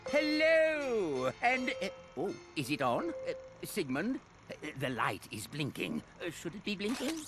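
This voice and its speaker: A man's voice: high voice, wildly swooping pitch, a little slow, over music, exaggerated voice. A man playing a cheerful, doddering elderly alien scientist in a video game cutscene, theatrical, bumbling and whimsical. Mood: flustered.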